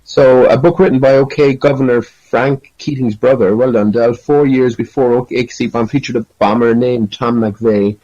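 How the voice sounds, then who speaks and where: A man's voice: medium pitch, fairly even intonation, moderate pace, few pauses, warm tone. Man chatting in dull lo-fi audio. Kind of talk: casual chat, reading aloud and commenting.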